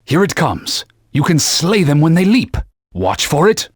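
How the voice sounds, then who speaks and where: A man's voice: on the high side, sing-song, a little slow, husky, dry recording, clean studio sound, heavily acted. A man voicing a haughty talking sword in a video game, a stern knightly mentor who scolds pompously in old-fashioned phrasing. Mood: urgent. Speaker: video game companion guide. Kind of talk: video game voice acting, short combat callouts.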